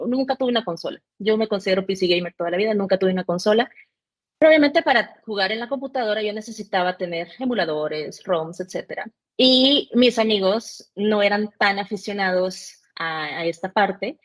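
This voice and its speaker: Woman in her 40s, chatty guest, lots of fillers. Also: mid-range voice. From born in Mexico, raised in El Salvador. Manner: chatty, with many fillers and restarts. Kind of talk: casual interview chat.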